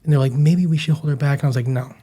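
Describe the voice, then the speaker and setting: A man's voice: fairly high pitch, fairly even intonation, rapid-fire, dark tone, breathy edge. A man in his thirties from western New York, a chef telling stories in a chatty, self-deprecating way as a podcast guest. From western New York, USA. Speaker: guest, chef and business founder. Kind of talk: casual interview chat.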